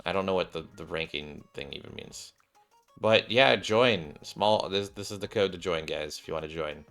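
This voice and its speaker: Guy streaming a game, deep but bright voice thats a bit worn, rambles while thinking out loud. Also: moderate pace, faint music behind. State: voice slightly off, recovering. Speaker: gaming streamer, host. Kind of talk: casual game commentary, reading skills aloud.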